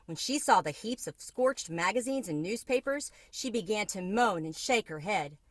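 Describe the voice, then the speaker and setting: A woman narrates a children's story with expressive, read-aloud delivery. Her voice sits at a middle pitch, and the recording is dry and close, with little room sound. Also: moderate pace, no echo. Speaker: storybook reader.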